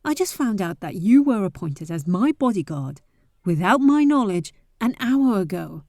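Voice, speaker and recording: Bold young adventurer played by a woman for roleplay audio drama: soft, dark tone, very dry close sound, teasing and impatient yet caring. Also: medium pitch, sing-song, normal speed, dry recording. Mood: exasperated.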